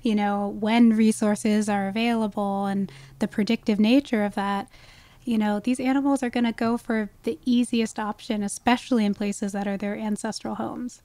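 A woman's voice: medium pitch, fairly even intonation, moderate pace, warm tone, no room sound. A woman speaking as an expert guest in a conversational podcast interview, explaining things with emphasis. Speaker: guest, wildlife ecologist.

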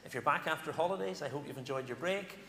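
A middle-aged man, speaking as a minister at a lectern in a large church, giving announcements and a call to worship with pauses and fillers. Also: a little high, creaky, slight background noise. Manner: warm pulpit delivery with pauses and fillers. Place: large church sanctuary, indoors. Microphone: stand microphone at a lectern. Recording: echoey church hall from a distance.

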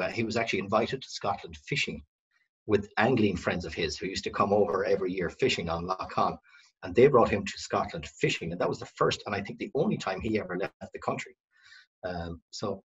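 A husky, low-voiced Irish man in middle age speaks over a dull, lo-fi video-call line from a room at home. He rambles through his story and keeps restarting his sentences. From west of Ireland. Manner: rambling, self-correcting storyteller. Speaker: company director, guest. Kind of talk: casual video-call interview.

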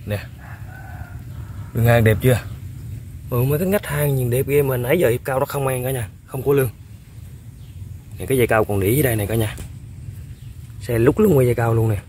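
A man, excitedly commenting to viewers while fishing on a riverbank. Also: medium pitch, a little fast, pauses often, slight background noise. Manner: excitable, exclaims often, chuckles. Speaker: fishing vlogger. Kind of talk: casual outdoor commentary to viewers. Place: outdoors by a riverbank.

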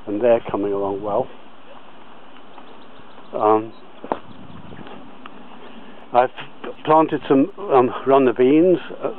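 A man talks his way slowly around his allotment, pausing and restarting often as he points things out. The sound is thin and tinny, like a phone line. Faint background noise hangs under his words. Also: medium pitch. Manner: slow, halting, many fillers and restarts. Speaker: allotment gardener. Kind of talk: walking tour of his garden plot. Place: outdoors on a garden allotment.

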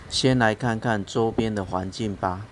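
Chatty low-voiced man outdoors by the sea. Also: moderate pace. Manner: chatty, upbeat, explains as he goes. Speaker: fishing channel host. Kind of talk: narrating a fishing outing. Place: outdoor seaside fishing spot. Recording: outdoor wind and noise.

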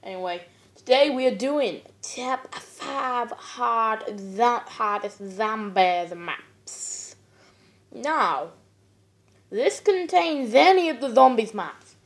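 A woman's voice: fairly high pitch, animated, takes it slowly. A woman giving casual, slangy gaming commentary, brash and swearing now and then, with a cough that leaves her voice a bit off. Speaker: gaming video creator.

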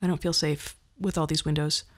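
A woman's voice: sits low, fairly even intonation, a little fast. A woman in her fifties hosting a podcast, telling personal stories in a conversational, wry, self-deprecating way. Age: 50s. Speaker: podcast host. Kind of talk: personal storytelling monologue.

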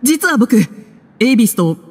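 A man playing a gentle, sincere, trusting young man in a game, speaking politely, with long reverb on the recording. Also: very high-pitched, sing-song, moderate pace, slightly rough, heavily acted. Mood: friendly, shy. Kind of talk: dramatic game dialogue.

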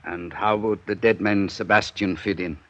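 An actor playing a big, shrewd Swiss police inspector, formal and measured, with a German accent, in 1950s radio drama style. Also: male voice, lowish voice, steady tone, fairly bright tone, natural voice. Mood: dry curiosity. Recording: dull, noisy 1950s radio recording.

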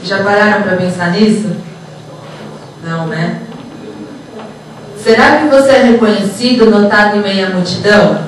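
A woman's voice: medium pitch, moderate pace, long pauses. A woman gives an informal lecture, conversational and checking in with short tag questions. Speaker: psychologist and HR professional giving a lecture. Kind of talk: informal motivational lecture to students. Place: auditorium, speaking to an audience. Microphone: handheld microphone through room speakers, distant recording. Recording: echoey hall from far off, noisy.

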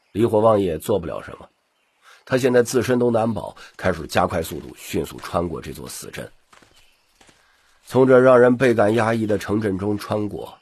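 A man reads an audiobook as a dramatic storyteller. His voice is low and very husky, and his pitch moves in wide, animated swings through the scripted narration. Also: no room sound. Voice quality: husky, gravelly.

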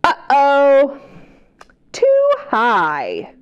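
A woman's voice: sits high, wildly swooping pitch, drawn-out words, dull audio. A middle-aged woman hosting a children's storytime, reading a picture book aloud expressively, with exclamations and questions to her young listeners. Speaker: children's librarian, storytime host. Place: indoor library children's room. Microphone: clip-on lapel microphone.

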